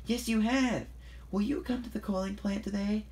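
A storyteller voicing Thomas as an excitable, eager young male tank engine who exclaims a lot. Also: medium pitch, moderate pace, a little hiss or hum, pitched above the performer's own voice, close to an everyday voice. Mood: excited, eager. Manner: exclaiming, stuttering.

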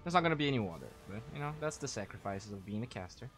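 A young man from Brazil, chatting casually while streaming a game, thanking viewers and thinking out loud. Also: fairly low pitch, animated, rapid-fire. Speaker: streamer and caster. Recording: faint music behind, weak mic.

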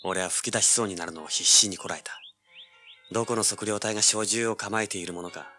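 A low-voiced, cocky treasure hunter. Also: male voice, fairly even intonation, fairly quick, pushed and bright, faint music behind. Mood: wry, subdued. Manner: cocky, rough-spoken, hard-boiled. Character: brash, tough young male treasure hunter narrating his adventure. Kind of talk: 1980s audio drama acting with first-person narration.

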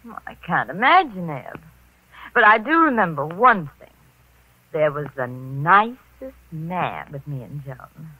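An actress playing a detective's breezy, playful young wife, airy and coy, in an old radio mystery. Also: female voice, low-pitched, big pitch swings, measured pace, bright, forceful tone, no room sound, exaggerated voice, low-quality recording. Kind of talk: 1940s radio mystery acting.

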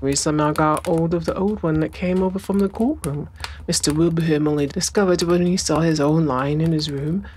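A woman voicing a deferential manservant in an audiobook, apologetic, matter-of-fact and deadpan. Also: low voice, brisk, a little hiss or hum, lightly acted.

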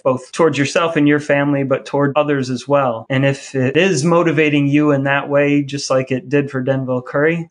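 A man co-hosting a podcast in a casual, conversational style. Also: a little high. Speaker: podcast co-host.

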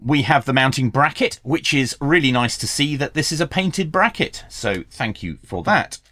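A man in his fifties presenting a scripted product review in a measured, clearly enunciated way. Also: mid-range voice, a bit bright, no echo. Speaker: host of a radio review channel. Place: small indoor workshop.

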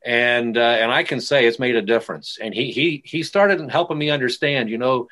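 Middle-aged male pastor guest, loose and rambling, mid-pitched conversational voice. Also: moderate pace, bright, forceful tone. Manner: rambling, many restarts. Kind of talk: casual interview talk.

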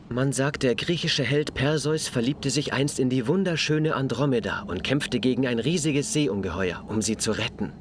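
An actor playing a lovestruck, smooth-talking alien villain in an anime dub, romantic and theatrical. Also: male voice, medium pitch, unhurried, a little hiss or hum, lightly acted. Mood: amorous. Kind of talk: German anime dub acting.

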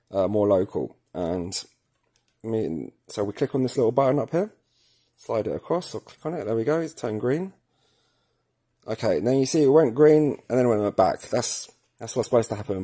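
A man's voice: medium pitch, warm tone, breathy edge. A man narrating a casual step-by-step tutorial, thinking aloud informally as he works with his hands. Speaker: product tutorial presenter. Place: indoors at a work table, filming hands and device.

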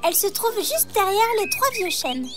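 A woman's voice: extremely high pitch, sing-song, moderate pace. A woman voicing a trusting, polite little girl in a children's cartoon, with an earnest sing-song delivery. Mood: polite, cheerful. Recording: music playing behind. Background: background music.

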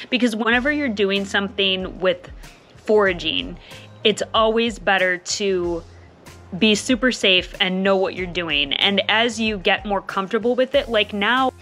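A woman's voice: medium pitch, pushed and bright. A woman in her thirties talking casually to her phone camera on an outdoor porch, enthusiastic and chatty, repeating words for emphasis. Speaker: homesteading vlogger. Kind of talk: casual vlog talk to camera. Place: covered back porch of a house, outdoors. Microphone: phone camera at arm's length.

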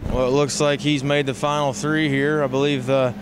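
An American man calls a livestock show in a measured, matter-of-fact voice. His pitch sits a little high and moves very little. Clear background noise of a big indoor arena surrounds him. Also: little pitch movement. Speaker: livestock show commentator and host. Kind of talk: live show commentary. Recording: noisy livestock arena ambience.

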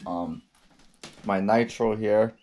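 A young man casually walking through his fishing gear at a table indoors, laid-back and slow, with frequent ums and restarts, picked up by an action camera. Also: lowish voice, fairly even intonation, noisy background. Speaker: fishing vlogger.

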